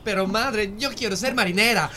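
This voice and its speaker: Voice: mid-range voice, moderate pace, close to an everyday voice. An actor playing an earnest, stubborn teenage son in a radio drama, protesting sensitively.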